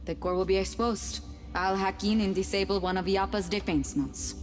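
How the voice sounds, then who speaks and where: An actress playing a young woman hacker in a video game cutscene, brisk and matter-of-fact with a teasing edge. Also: mid-range voice, steady tone, faint music behind, natural voice. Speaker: hacker ally.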